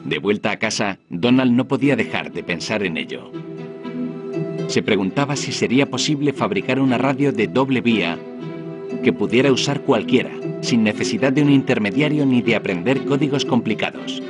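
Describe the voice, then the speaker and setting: A man's voice: medium pitch, expressive, normal speed. Male documentary narrator over music. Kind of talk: scripted narration. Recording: music behind the voice. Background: background music.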